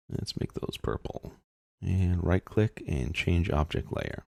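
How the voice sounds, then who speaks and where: Male screencast instructor, low gravelly register, informal step-by-step explanation, thinking out loud. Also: no echo, studio quality. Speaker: instructor of a software tutorial. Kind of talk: step-by-step screencast narration, unscripted.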